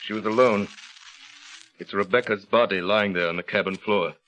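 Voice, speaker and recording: An actor playing a haunted, bitter, despairing husband in 1930s radio drama, with a brooding, halting delivery. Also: male voice, fairly low pitch, fairly bright tone, a little hiss or hum, natural voice. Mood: confessing, grave. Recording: muffled old radio recording.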